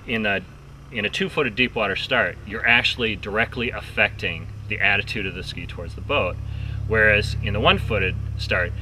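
A man's voice: lowish voice, sharp tone. A man teaching water ski technique on a lakeside dock, explaining step by step as he shows the ski. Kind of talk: outdoor instructional demo. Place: outdoors on a dock by a lake. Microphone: distant camera mic. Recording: distant outdoor mic with light noise.

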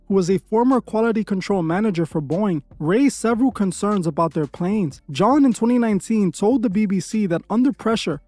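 High-pitched man narrating in a dry voice. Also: mellow, no echo. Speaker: host. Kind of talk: scripted narration.

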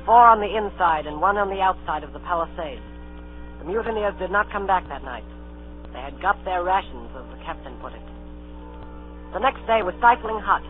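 An earnest boy adventurer telling his story in a radio drama. The voice is high, on a tinny vintage recording with music behind it. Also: animated, normal speed. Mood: grave, steady.

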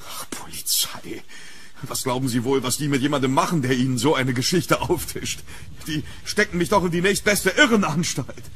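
Casting: male actor for a hunted gentleman in horror audio drama, fearful and urgent, slightly high voice, faint noise behind. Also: normal speed, close to an everyday voice. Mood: uneasy. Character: hunted, fearful English gentleman haunted by a demon.

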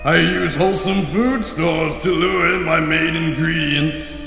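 An actor playing a huge, corpulent alien overlord, a pompous, gloating gourmet villain in a retro sci-fi audio drama. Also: male voice, fairly high pitch, measured pace, gravelly, music in the background. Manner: pompous, taunting. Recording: very echoey, long reverb.

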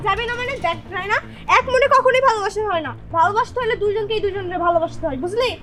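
A woman's voice: very high voice, racing, heavy background noise. A woman voicing an anxious young woman in love, a little sister, in a cartoon drama. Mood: worried.

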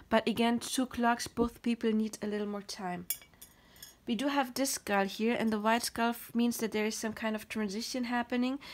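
A woman with a German accent giving a pick-a-card tarot reading in a gentle, reassuring, explanatory way, her throat dry and her voice a little tired. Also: fairly high pitch. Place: home, at a table. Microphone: camera over the table, speaker off screen.

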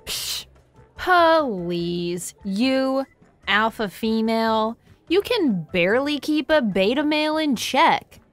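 A vain catty teen werewolf girl, slowish and a bit high, web cartoon. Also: animated, faint music behind. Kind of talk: animated web roleplay acting.

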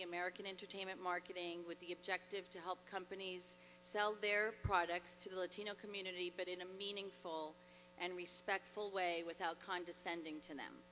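A woman speaks at a podium microphone on a hall stage, her low-set voice bright and forceful. She sounds earnest and grateful, with frequent fillers and small restarts. Long reverb from the large hall hangs on her words over a faint hum. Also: little pitch movement, a little fast, soft background music. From Dominican Republic. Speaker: award honoree. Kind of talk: acceptance speech.

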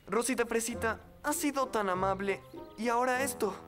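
A woman's voice: a little high, moderate pace, over music, close to an everyday voice. Woman playing a polite, apologetic cartoon boy. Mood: grateful. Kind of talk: dubbed children's cartoon acting.

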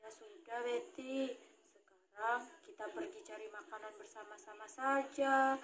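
A woman voicing a calm, caring monkey puppet friend in a children's story, speaking in a patient, soothing way. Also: fairly high pitch, unhurried, a crowd in the background, close to an everyday voice. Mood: forgiving.

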